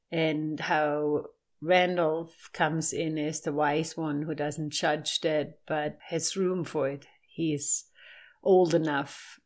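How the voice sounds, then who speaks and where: An older woman, a native German speaker with a clear German accent, talks reflectively as an interview guest. Her voice sits low and her delivery is slow, with halting moments as she searches for words. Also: a little mellow.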